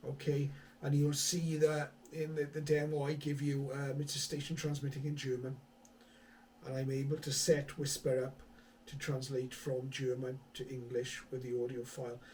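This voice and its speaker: A man's voice: mid-range voice, completely flat delivery, moderate pace, darkish tone, recorded from a distance, slight background noise, low-quality recording. A man presenting a hobby video in a casual, conversational explaining style, with some hesitant thinking aloud. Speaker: hobby radio vlogger, presenter. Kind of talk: casual tech explainer to camera.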